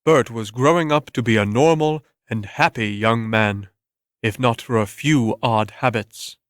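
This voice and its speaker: A man's voice: medium pitch, a little slow, dry recording, studio quality. A man reading scripted narration as the storyteller in a dramatic reading.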